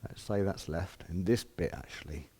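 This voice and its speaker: A man's voice: low voice, normal speed, mellow, a little hiss or hum. A man giving an informal hands-on demonstration as a shop presenter, explaining step by step in plain, casual words.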